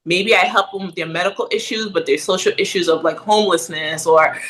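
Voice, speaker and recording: A middle-aged woman from Ghana, a podcast guest talking in a casual interview, animated and often ending with "right?". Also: on the low side, fairly quick, low-quality recording. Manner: animated, frequent "right?" tag questions.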